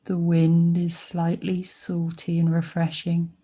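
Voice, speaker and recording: Woman, sleep meditation narration, soft relaxed tone, even and flat intonation, gentle, pausing between short phrases. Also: lowish voice. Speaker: meditation guide. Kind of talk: guided sleep meditation, soothing scripted narration.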